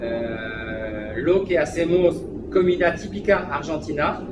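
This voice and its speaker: A man's voice: a little high, takes it slowly, loud music behind the voice. A man with a French accent speaking Spanish as a second language, a restaurant manager giving an interview, hesitant and full of fillers. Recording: echoey room, background noise.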